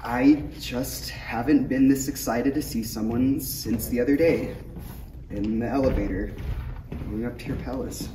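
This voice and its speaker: A man's voice: mid-range voice, steady tone, a little slow, lightly acted. A man playing an earnest, awkward young Jedi apprentice, speaking casually in a comedic script table read. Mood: sheepish. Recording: echoey room, distant mic, faint noise.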